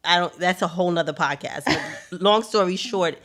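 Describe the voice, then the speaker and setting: Low deep-voiced woman, co-host from haiti, open and self-critical chat. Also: normal speed, a bit bright. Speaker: podcast co-host. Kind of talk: casual chat.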